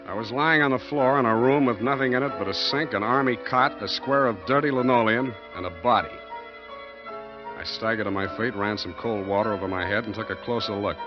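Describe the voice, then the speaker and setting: An actor playing a wisecracking male private detective in a 1940s radio drama, narrating in a clipped, deadpan way. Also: medium pitch, brisk, lightly acted. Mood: wry, hard-boiled. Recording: muffled 1940s radio recording, music behind.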